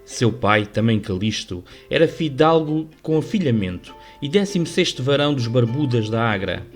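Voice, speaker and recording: A man with a European Portuguese accent giving formal, measured, scripted literary narration for a radio drama. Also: mid-range voice, expressive. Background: music under the voice.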